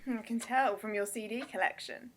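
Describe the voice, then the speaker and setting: High-voiced flirty yet insecure woman played by an actress. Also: steady tone, normal speed, slight background noise, lightly acted, rough recording. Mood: flirty, teasing. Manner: teasing, confessional. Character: flirty, teasing woman, wounded and insecure underneath. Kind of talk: radio drama acting.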